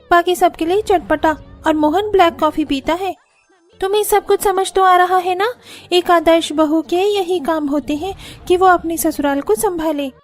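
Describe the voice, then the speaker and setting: A high, commanding voice for a scheming elder sister-in-law in a cartoon household, acted out by a woman. She nags and orders people about, with faint music playing behind her. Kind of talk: Hindi moral-story cartoon dubbing.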